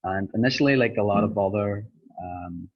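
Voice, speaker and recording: A young Irish man telling his story in an interview, pausing often with ums. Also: fairly low pitch. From Ireland. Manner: hesitant, many ums, rambling. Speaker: interviewed student. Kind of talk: casual interview. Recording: dull lo-fi sound.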